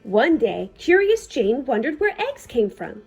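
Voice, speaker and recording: High-pitched, lively woman reading a children's story. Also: measured pace, husky, lo-fi sound, faint music behind. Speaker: librarian reading a picture book aloud. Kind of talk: children's storytime read-aloud.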